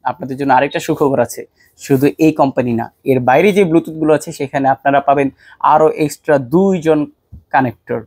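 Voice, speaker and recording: A Bangladeshi man delivers a promotional sales pitch in a fairly high voice. He addresses viewers directly, in the persuasive way of a shop presenter. The sound is very dry, with hardly any room to it. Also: moderate pace, darkish tone, husky, no echo. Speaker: shop presenter selling a product. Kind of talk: promotional product pitch to camera.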